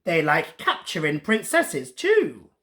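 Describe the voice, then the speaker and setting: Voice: mid-range voice, wildly swooping pitch, slow pace, fairly bright tone, heavily acted. Storyteller putting on a wicked, thundering wizard, swooping wildly. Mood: menacing. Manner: thundering, gloating, theatrical. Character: wicked, mocking male wizard in a children's storybook.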